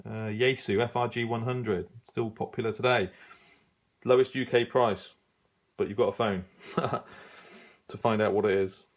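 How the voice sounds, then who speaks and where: A man with a fairly low voice talks informally, as a hobbyist leafing through printed pages. He reads items out in a halting way, half to himself, and adds offhand remarks as he goes. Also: fairly even intonation. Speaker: radio hobbyist reviewing an old magazine. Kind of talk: casual unscripted review, leafing through pages.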